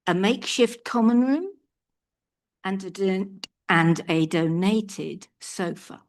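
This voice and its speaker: An older woman addresses a council chamber in a slow, deliberate way. She sounds earnest and measured as she delivers a prepared statement. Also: medium pitch. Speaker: public speaker at a council meeting.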